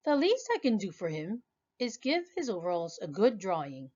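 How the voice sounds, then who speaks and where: A woman's voice: mid-range voice, sing-song, normal speed, muffled recording. A woman voicing a kindly young male artist in a storybook, speaking thoughtfully. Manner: thoughtful and gentle.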